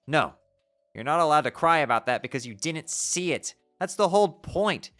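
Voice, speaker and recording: A performer voicing a fierce teenage girl who scolds and yells in exasperation. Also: medium pitch, animated, normal speed, soft background music, close to an everyday voice. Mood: furious.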